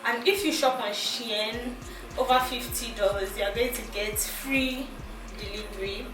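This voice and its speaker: Slow-talking Nigerian woman vlogger. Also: on the high side, steady tone. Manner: chatty, giggly, thinks aloud with many restarts. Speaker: fashion vlogger. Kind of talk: casual try-on haul chat. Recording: echoey room, mic at a distance, music behind.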